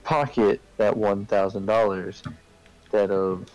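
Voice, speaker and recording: Slow-talking man with a gravelly, creaky voice. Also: on the low side, steady tone, a little mellow, lo-fi sound. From Canada. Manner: explanatory, repeatedly checks in with "right?" and "okay?". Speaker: participant in a group discussion. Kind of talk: casual debate, chat.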